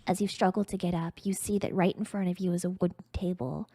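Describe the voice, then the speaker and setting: A woman's voice: fairly low pitch, fairly even intonation, fast, warm tone, husky, no echo, studio quality. A woman streamer reading a story aloud in a theatrical, mock-grandiose, dramatic narrating style.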